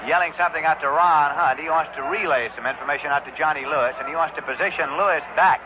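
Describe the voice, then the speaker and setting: An American man calling baseball play-by-play as live radio commentary in a measured, explanatory way. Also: a little high. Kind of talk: live radio sports commentary with sponsor read. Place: open-air ballpark broadcast booth. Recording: dull vintage AM radio, loud crowd, heavy noise. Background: loud stadium crowd and noise.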